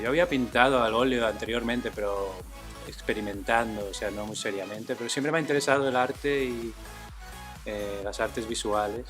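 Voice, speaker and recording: A man in his forties, from Spain, talking about his painting in a relaxed, thoughtful way with many fillers. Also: lowish voice. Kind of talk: casual documentary interview.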